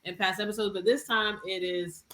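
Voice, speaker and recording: A woman's voice: mid-range voice, steady tone, brisk, bright, forceful tone. A woman hosting a podcast in a casual, conversational style. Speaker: podcast host. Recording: roomy, echoey sound.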